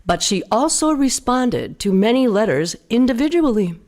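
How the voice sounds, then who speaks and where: A woman's voice: on the high side, very animated, moderate pace, a little mellow. A woman playing a courteous network radio news host in live theater, with a formal announcer delivery. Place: live stage before a studio audience in a church.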